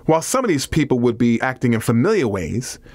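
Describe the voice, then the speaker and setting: Male audiobook narrator, medium pitch, measured scripted reading, nonfiction, dry sound. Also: very animated, a little mellow, no echo.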